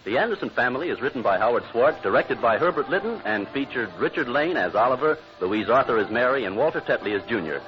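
An American male announcer reads scripted credits in vintage radio style. His pitch moves animatedly over clear music. The old transcription sounds dull. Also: animated, quick pace, hardly any pauses.

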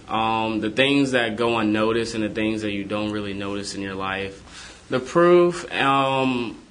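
A man's voice: lowish voice, fairly bright tone, a little hiss or hum. An eighteen-year-old man co-hosting a talk, speaking earnestly about his faith. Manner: earnest, sincere testimony. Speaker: podcast co-host. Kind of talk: casual faith conversation.

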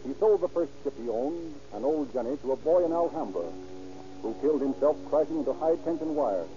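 A man narrating a 1930s radio crime drama in a measured, declamatory way. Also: a little high, expressive, dark tone. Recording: muffled old radio recording over music.

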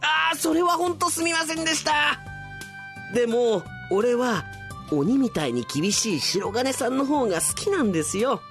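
A man's voice: extremely high pitch, expressive, moderate pace, fairly bright tone, faint music behind, voice pushed up. A man playing a casual young male office worker, slangy but earnest, in a comic radio drama. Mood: apologetic. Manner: slangy, earnest junior speech.

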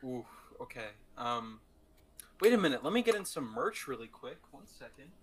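A man's voice: medium pitch, very animated, normal speed, low-quality recording. Playful man in casual podcast chat. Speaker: podcast co-host. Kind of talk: casual chat about movies.

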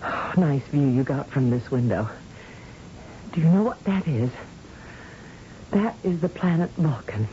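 An actress playing a betrayed, vengeful wife in an old-time radio science fiction drama, dramatic and deliberate. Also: female voice, low-pitched, lively intonation, mellow. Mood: coldly gleeful. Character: betrayed, coolly vengeful wife at a space laser. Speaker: actor. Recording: muffled, noisy old radio drama recording.

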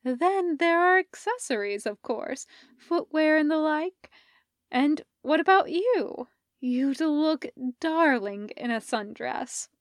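A woman's voice: high voice, expressive, slow pace, no room sound, clean studio sound, voice pushed up, exaggerated voice. A woman voicing a gracious, affectionate, fashion-savvy woman friend in an effusive, lilting, refined way for a story. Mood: musing, affectionate.

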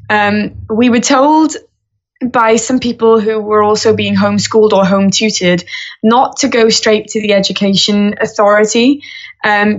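A young woman speaks as a podcast guest, recounting her experiences earnestly and at a steady pace. Her voice sits at an ordinary middle pitch for a woman. The recording is dull and muffled. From Wales. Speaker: guest singer-songwriter. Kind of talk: casual podcast interview. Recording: muffled lo-fi audio.

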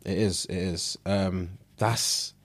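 A man's voice: low-pitched, steady tone, takes it slowly, husky, dry recording. A man with a London British accent, co-hosting a podcast in a casual, slangy conversational way, repeating phrases for emphasis. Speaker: podcast co-host.

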